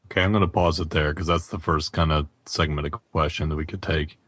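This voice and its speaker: A man with a very deep voice hosts a casual gaming podcast. His delivery stays quite flat, and the sound is dry and close. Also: a little fast, dry recording. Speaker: podcast host.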